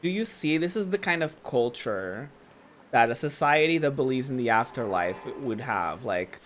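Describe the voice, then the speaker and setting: A hoarse young man streaming a game, rambling casually and saying "like" a lot while he talks over the gameplay. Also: on the high side, a bit bright. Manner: chatty, rambling, says "like" a lot. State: hoarse, losing his voice. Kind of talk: casual let's-play commentary.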